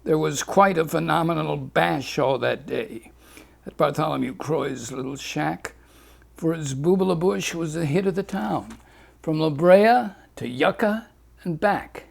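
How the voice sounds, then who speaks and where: A man reads a rhyming fable aloud with a lilting, rhythmic lilt. His pace is very unhurried and his voice has a gravelly grain. It sits a little higher than most men's. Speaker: storyteller.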